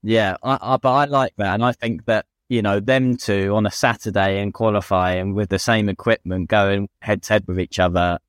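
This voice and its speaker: Low-voiced British man chatting about motorsport. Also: steady tone, moderate pace, hardly any pauses, clear, resonant tone, dry recording, studio quality. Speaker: podcast co-host and racing driver. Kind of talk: casual motorsport chat.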